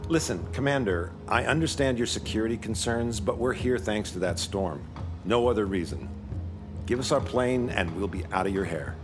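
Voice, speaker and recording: A man acting as a brash American in a wartime audio drama, insistent and breezily respectful. The voice is on the low side, with clear music underneath. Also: expressive, moderate pace, natural voice.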